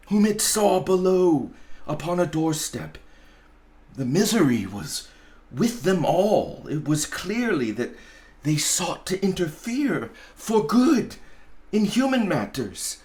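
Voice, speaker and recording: A man narrating a storybook expressively, with deliberate, dramatic phrasing. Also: high-pitched. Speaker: storybook reader, pastor.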